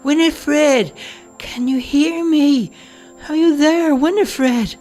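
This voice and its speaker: A man's voice: high-pitched, animated, moderate pace, creaky, soft background music, pitched above the performer's own voice, heavily acted. A man voicing a demanding, dramatic elderly mother in a storybook reading, whiny and imperious. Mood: distressed.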